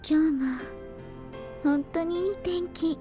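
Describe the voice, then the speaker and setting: An actress playing a frail, gentle, quietly cheerful girl in a Japanese visual novel, soft and sweet. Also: extremely high pitch, fairly even intonation, moderate pace. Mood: content. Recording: game music behind the voice.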